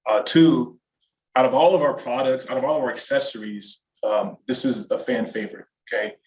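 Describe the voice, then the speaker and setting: A man's voice: medium pitch, normal speed. Enthusiastic man, slightly muffled sound. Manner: enthusiastic, emphatic. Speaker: manufacturer's product representative. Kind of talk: casual webinar product talk.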